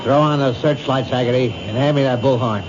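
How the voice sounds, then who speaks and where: An actor as a terse police commander, loud music. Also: male voice, medium pitch, a little fast, lightly acted. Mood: tense, commanding. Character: tough, cautious male police commander. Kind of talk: old-time radio drama acting. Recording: muffled old radio, loud music behind.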